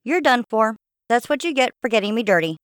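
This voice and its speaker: A man's voice: very high-pitched, very animated, brisk, fairly bright tone, clean tone, no echo, studio quality, voice pushed up, full character voice. A man voicing a spoiled, haughty female talking sword princess, nagging and indignant, in a fantasy audiobook. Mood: haughty mocking.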